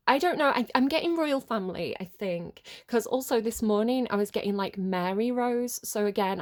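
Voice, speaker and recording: A woman's voice: mid-range voice, a little mellow. A woman with a cold, sniffly, giving a casual tarot reading in a rambling way, full of fillers and small laughs. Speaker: tarot reader.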